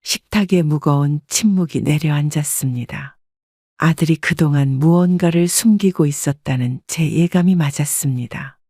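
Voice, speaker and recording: Older woman narrator, calm measured first-person storytelling, dry close sound. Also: low-pitched, no room sound.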